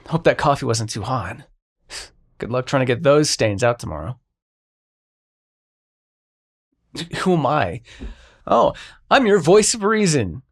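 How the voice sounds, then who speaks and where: Casting: male actor for grumbling, sardonic dream god, intimate audio drama; very animated intonation, heavy vocal fry, foul-mouthed. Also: fairly high pitch, exaggerated voice. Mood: irritated, sarcastic. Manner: sarcastic, profane, sighing, addresses listener as mortal. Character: exasperated, sarcastic god of dreams, a grumbling Sandman. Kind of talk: intimate scripted roleplay audio drama monologue.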